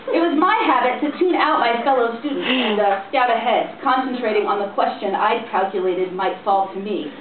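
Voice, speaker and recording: A woman's voice: on the high side, far from the mic. A woman giving a theatrical dramatic reading of a humorous story at a live cafe event. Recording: tinny, echoey, noisy room recording from afar. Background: audience laughter, room noise.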